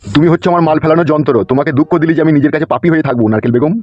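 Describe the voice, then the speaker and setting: A man's voice: on the high side, very fast, close to an everyday voice. A man voicing a sly, lecherous village lover in a comedy cartoon, wheedling and blustering, in lo-fi sound. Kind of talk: amateur comedy cartoon dialogue. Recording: dull lo-fi sound with music.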